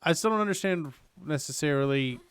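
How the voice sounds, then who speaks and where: A man's voice: on the high side, lively intonation, fairly quick, fairly bright tone. A man giving casual live commentary on a game as a streamer, musing and joking as he goes. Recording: game music faint behind voice.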